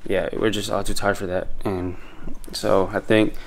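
A man's voice: fairly low pitch, flat delivery, normal speed, vocal fry, a little hiss or hum, poor audio. A young man vlogging casually in a house with friends, talking into a handheld camera in a laid-back, worn-out way. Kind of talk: casual vlog narration. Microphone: handheld camera with mounted mic.

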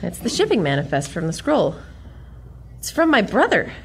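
A woman's voice: mid-range voice, wildly swooping pitch, normal speed, breathy edge, exaggerated voice. A woman playing an earnest, bookish young heroine who explains things in a 1940s-style radio adventure.

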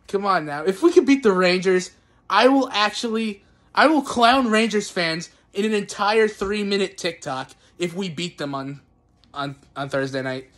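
A young man giving casual, excitable postgame recaps as a sports fan, recorded on a phone at home. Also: high voice, normal speed. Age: young adult. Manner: excitable, exclamatory fan cheering. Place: small indoor room at home. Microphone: phone at arm's length.

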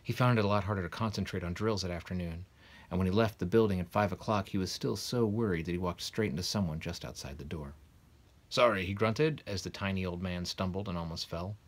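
Male storybook reader, low register, husky tone, narrating a children's novel. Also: quick pace. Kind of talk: storybook reading aloud. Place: small bedroom, indoors, sitting in bed.

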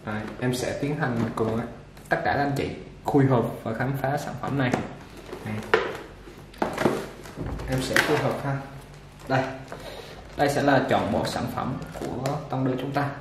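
A man giving a casual unboxing demonstration of a hair clipper, talking relaxed with many fillers while he handles it. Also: medium pitch, unhurried, long pauses. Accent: Vietnamese. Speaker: product reviewer for a barber supply shop. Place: indoor shop table with clipper boxes. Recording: echoey room with machine noise. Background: clipper motor buzz and clicks.

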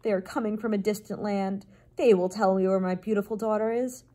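A woman's voice: medium pitch, fairly even intonation, a little fast, mellow, close to an everyday voice. Woman putting on a blustering, imperious storybook king. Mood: stubborn. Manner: bellowing, imperious, dismissive. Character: grieving, stubborn, blustering male king. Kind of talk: storybook character voice.

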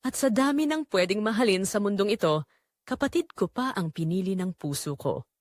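A woman's voice: medium pitch, lively intonation, normal speed, dry recording, clean studio sound. A woman narrating a scripted first-person romantic drama in an emotive, confessional manner. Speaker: storyteller narrating in character.

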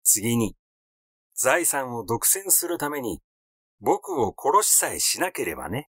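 A man voicing a coolly sly young heir in a story reading, with a smooth, nonchalant, teasing manner. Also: medium pitch, big pitch swings, takes it slowly, a bit bright, no room sound, clean studio sound, exaggerated voice.

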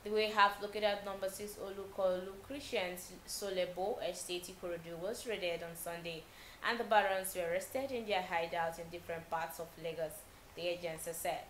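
A young Nigerian woman reading a news bulletin as a TV newsreader, steady and measured with small slips. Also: fairly low pitch, roomy sound, slight background noise, poor audio. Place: TV news studio.

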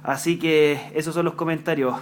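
Chilean man, friendly radio chat, call signs, slightly high pitch. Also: fairly even intonation, normal speed. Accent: Chilean Spanish. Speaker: amateur radio operator. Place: home room at a radio desk.

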